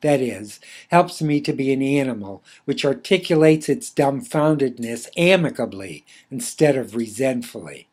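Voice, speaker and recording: Man, mid-pitched voice, author's essay reading, deliberate delivery, formal literary phrasing. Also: animated, a little mellow. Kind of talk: scripted philosophical essay reading.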